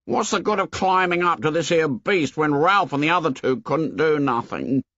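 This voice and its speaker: A man's voice: on the high side, moderate pace, a bit bright, no room sound, dull audio, pitched above the performer's own voice. A man voicing a fussy, indignant boy who lectures the others in ungrammatical speech.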